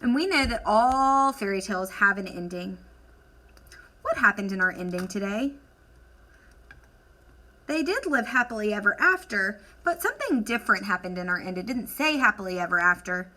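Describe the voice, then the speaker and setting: A woman teacher leading an instructional lesson for children, asking questions, encouraging answers and dictating deliberately as she writes. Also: medium pitch, lively intonation, pushed and bright. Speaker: elementary school teacher.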